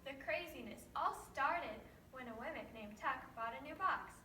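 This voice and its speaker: A woman's voice: high voice, lively intonation, moderate pace, sharp tone. A young teenage girl narrating a scripted children's story in a readers theater performance. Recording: echoey room, distant mic, faint noise.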